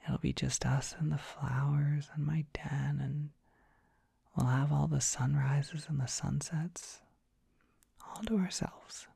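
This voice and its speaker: Someone doing a dorky dragon boyfriend asmr, mellow crackly whisper, bashful n hesitant, super gentle and comforting. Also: mid-range voice, steady tone, slow, dry recording, studio quality, exaggerated voice. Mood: gently hopeful. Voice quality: soft breathy whisper. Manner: tender, bashful, hesitant, softly reassuring. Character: dorky, gentle young dragon boy, shy, devoted comforting partner. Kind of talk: ASMR roleplay, intimate comforting monologue.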